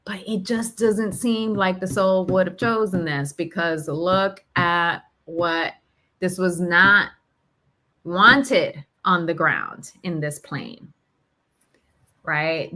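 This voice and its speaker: A woman's voice: fairly low pitch, drawn-out words, sharp tone. A woman in candid, reflective conversation, thinking aloud emphatically in short, broken phrases. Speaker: podcast host sharing personal reflections on grief.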